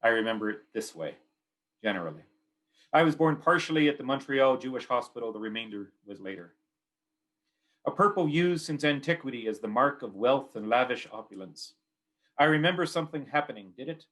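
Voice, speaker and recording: A man's voice: mid-range voice, animated, fairly quick, a bit bright. A man with a Canadian accent giving a poetry reading of his own work in short, clipped, repeating phrases.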